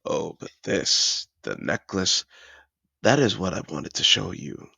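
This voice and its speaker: Man voicing a deep, gravelly, seductive dragon. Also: big pitch swings, bright, forceful tone, no room sound, studio quality, heavily acted. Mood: proud, rapt. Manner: slow, courtly, seductive, savouring words. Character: suave possessive male dragon in disguise, acquisitive treasure collector. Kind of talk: romantic fantasy audio roleplay, addressed to the listener.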